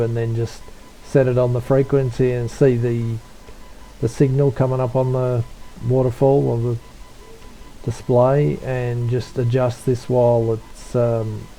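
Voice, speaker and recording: A man giving an unscripted software tutorial, talking in a low-key, hesitant way as if thinking aloud. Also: rough recording. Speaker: tutorial presenter, radio hobbyist.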